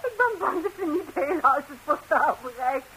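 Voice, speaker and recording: Proud lady of the house, high voice, tart mock-polite delivery, 1960s radio comedy, slight hiss. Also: expressive, normal speed, sharp tone, full character voice, low-quality recording. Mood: bitter, emotional. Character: proud, sharp-tongued Madrilenian lady of the house. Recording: hissy old 1960s radio recording.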